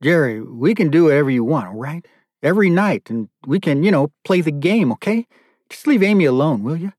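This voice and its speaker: A man's voice: on the low side, expressive, moderate pace, breathy edge, dry recording, clean studio sound. A man voicing a desperate captive father in an audiobook, weary and pleading yet bitterly defiant. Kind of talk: audiobook character dialogue.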